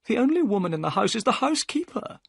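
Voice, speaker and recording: A reader voicing a nervous, talkative gentleman who speaks with eager excitement, in a storybook reading. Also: mid-range voice, quick pace, gravelly, slightly rough, dry recording, studio quality, voice pushed up, full character voice.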